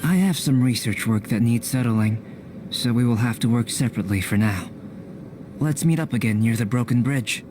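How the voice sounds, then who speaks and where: An actor playing a composed young scholar in an anime-style video game, analytical and detached, with measured, precise, formal speech. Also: male voice, mid-range voice, background noise, lightly acted. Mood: thoughtful.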